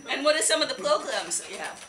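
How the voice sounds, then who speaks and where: A woman's voice: sits high, sing-song, quick pace, crowd noise. A woman asking scripted questions as the interviewer in a live puppet show. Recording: echoey room, distant mic, very noisy.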